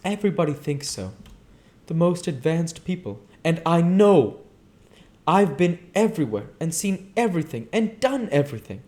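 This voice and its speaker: A man plays a young society wife in an audiobook, pitched well up into a high register. She is affected and world-weary, breezy and dramatic in the way she talks. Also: very animated, normal speed, a little mellow. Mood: jaded, dramatic.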